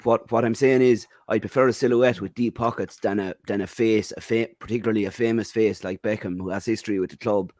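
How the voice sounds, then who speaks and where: A man's voice: on the low side, steady tone, brisk, hardly any pauses, darkish tone, no echo, clean studio sound. An Irish man on a football podcast panel, giving firm opinions with lots of "um" and "you know". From Ireland. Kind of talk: casual football podcast chat.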